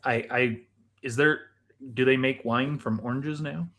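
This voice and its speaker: Guy co-hosting a show, just casually chatting and reviewing snacks, middling pitch. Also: fairly even intonation, a little slow, a bit bright. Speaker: co-host of a chat show. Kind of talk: casual chat, snack and drink tasting.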